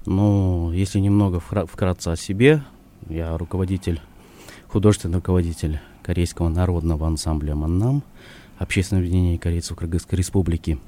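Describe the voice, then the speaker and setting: A man answers questions as a studio guest on live radio, speaking thoughtfully and pausing often as he searches for words. His voice is low with a mellow tone. He is recorded on a studio microphone. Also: steady tone, a little hiss or hum. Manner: thoughtful, hesitant, with frequent pauses. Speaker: studio guest, ensemble artistic director.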